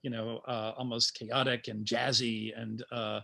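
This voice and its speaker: A man speaking informally as a guest playwright about his creative process, in a halting, thoughtful manner. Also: mid-range voice, flat delivery, vocal fry, sharp tone. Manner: halting, thoughtful, chuckling asides.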